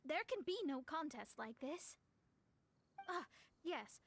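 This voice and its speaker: A woman's voice: sits high, lively intonation, takes it slowly, slight background noise, heavily acted. A woman voicing a proud young female astrologer in an anime game, with formal, theatrical, precise delivery. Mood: formal, self-assured.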